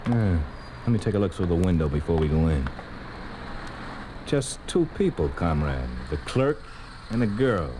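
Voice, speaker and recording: A man's voice: deep voice, big pitch swings, moderate pace, pauses often. An actor playing a brash, leering secret police henchman in a 1950s radio drama, with cocky, glib, slangy delivery. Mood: sly, leering. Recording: hissy, noisy old radio recording.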